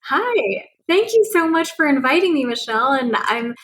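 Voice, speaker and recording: A woman who grew up speaking Cantonese, answering as an interview guest in an earnest, steady way, with frequent ums and restarts. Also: sits high, normal speed, slight background noise. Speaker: guest researcher.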